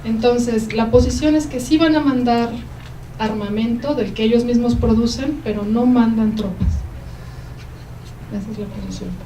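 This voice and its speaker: A woman with a Mexican Spanish accent giving a university lecture, explaining at length and pausing often with "eh" and "em" as she answers questions. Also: a little high, steady tone, mellow. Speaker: guest lecturer. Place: lecture hall. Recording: roomy hall, mic at a distance, faint noise.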